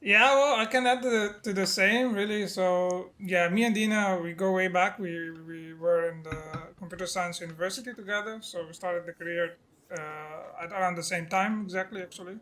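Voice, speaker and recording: A man's voice: high voice, normal speed, bright, forceful tone. A man, an indie game developer guest, talking casually with laughs and repeated starts. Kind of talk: casual interview chat.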